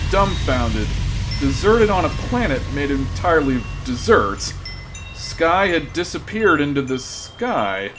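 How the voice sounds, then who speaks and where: A performer playing a droll, dramatic narrator of a scripted space comedy. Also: medium pitch.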